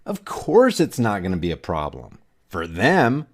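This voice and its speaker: A man's voice: low-pitched, wildly swooping pitch, measured pace. A man delivering sarcastic, emphatic political commentary.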